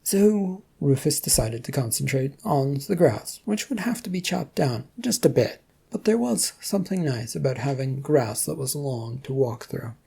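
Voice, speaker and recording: Fiction narrator, mellow and raspy, reading a scripted story. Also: medium pitch, animated, normal speed, few pauses.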